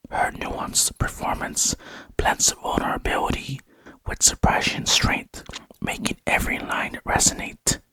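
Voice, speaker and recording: A man narrates a script in a hushed ASMR whisper. The sound is very airy and husky, with a crisp, bright edge. He is close to the microphone in a very dry recording. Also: high voice, dry recording. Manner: hushed, unvoiced whispering throughout. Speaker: video host and narrator.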